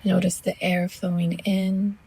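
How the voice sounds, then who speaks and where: A woman guiding a relaxation meditation as a yoga teacher, in a hushed, breathy near-whisper, gently and with long pauses between phrases. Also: lowish voice, little pitch movement.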